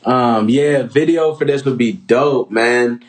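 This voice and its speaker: A man's voice: medium pitch, slow, slight background noise. A man from Trinidad and Tobago hosting a music showcase, giving casual, slangy, encouraging feedback as a producer.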